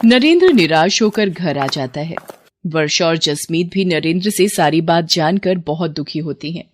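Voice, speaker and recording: A woman's voice: low-pitched, expressive, normal speed. A woman reading scripted narration as the storyteller of a moral tale.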